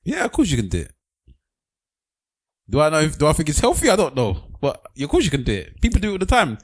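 A man's voice: fairly high pitch, wildly swooping pitch, fast talker. A man co-hosting a casual podcast chat, blunt, slangy and emphatic.

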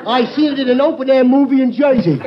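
An actor playing a dim-witted, cheerful tavern regular with a goofy voice and blurting, ungrammatical talk in a 1950s radio comedy. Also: male voice, quick pace, a loud crowd. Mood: dopey, blurting. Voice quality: goofy high-pitched voice. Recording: muffled noisy old radio, loud studio audience.

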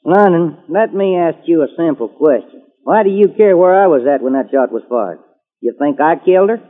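A man's voice: fairly high pitch, lively intonation, moderate pace, narrow, tinny audio. A man playing a boastful, folksy, sly Texan with a drawl and a needling joviality in an old radio drama. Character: folksy, boastful, sly Texan cattleman type, possible villain. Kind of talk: 1940s-50s radio drama acting. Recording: thin old radio recording, long reverb.

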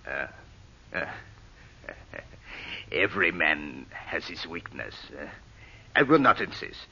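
An actor playing a blunt, commanding foreign schemer with formal, imperious, clipped phrasing in an old radio drama. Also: male voice, extremely low pitch, very animated, slow, creaky, pushed and bright, slightly rough, exaggerated voice. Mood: satisfied, guarded. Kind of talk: 1930s-40s radio drama acting. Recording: muffled old radio broadcast recording.

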